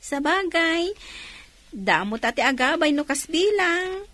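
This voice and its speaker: High-pitched, playful younger sister. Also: female voice, lively intonation, slow, a bit bright, no room sound, exaggerated voice. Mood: teasing. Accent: Filipino, Ilocano. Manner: teasing, giggly. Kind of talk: Ilocano radio drama acting.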